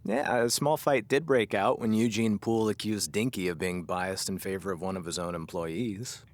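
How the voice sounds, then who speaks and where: A man's voice: lowish voice, normal speed, lightly acted. A man playing an easygoing small-town radio guy, chatting casually in a comedic audio drama. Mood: amused. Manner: casual, conversational.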